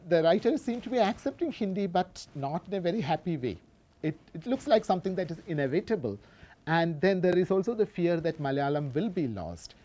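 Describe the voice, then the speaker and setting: Female academic presenter, low soft-edged voice, reads from a paper with halting explanatory asides. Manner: halting, explanatory, with frequent self-corrections. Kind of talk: scholarly lecture read from a paper with spoken asides.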